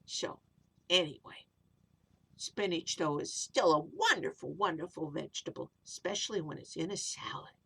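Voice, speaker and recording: A woman's voice: low voice, expressive, moderate pace, vocal fry, bright, forceful tone, slightly rough. A woman storyteller narrating for young children in simple, repetitive phrases, with little explanatory asides.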